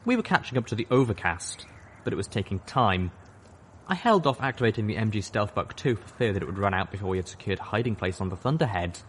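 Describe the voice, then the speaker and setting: A man reading a fan-fiction audiobook aloud, narrating in the first person in a steady storytelling manner. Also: fairly low pitch, fast talker, slight background noise. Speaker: audiobook reader.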